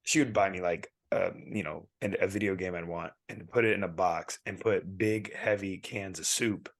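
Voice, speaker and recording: A man in his thirties from California, co-hosting a podcast and telling rambling, animated stories while losing his voice and clearing his throat. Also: deep voice, moderate pace, no echo. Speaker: podcast co-host. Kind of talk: casual podcast chat.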